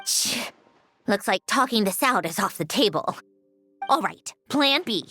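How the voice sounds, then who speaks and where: Dry, condescending scientist. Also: female voice, medium pitch, lively intonation, unhurried, sharp tone, faint music behind. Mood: pleading. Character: aloof, sardonic female puppet-maker scientist.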